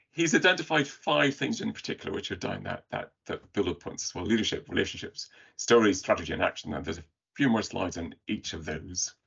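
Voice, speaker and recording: A man's voice: sits low, gravelly, breathy edge, lo-fi sound. A man presenting slides on a webinar in a conversational way, putting questions to his audience over a video call.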